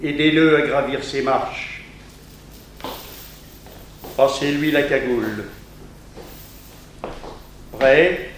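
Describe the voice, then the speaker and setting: A man's voice: medium pitch, drawn-out words, long pauses. A French man acting in a 1960s radio drama as a stern official presiding over an execution, declaiming ceremonially. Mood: solemn, stern. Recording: very echoey hall, faint noise.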